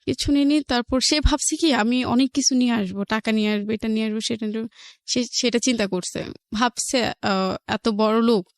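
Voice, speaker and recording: A woman with a Bangladeshi accent tells her life story as an interview guest in a fairly high voice. She goes haltingly, repeating phrases for emphasis, and the sound is dry. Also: no echo.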